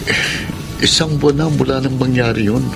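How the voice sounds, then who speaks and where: A man's voice: mid-range voice, moderate pace, creaky, over music, lightly acted. A man playing an apologetic husband, coaxing and pleading for forgiveness in a Tagalog radio drama.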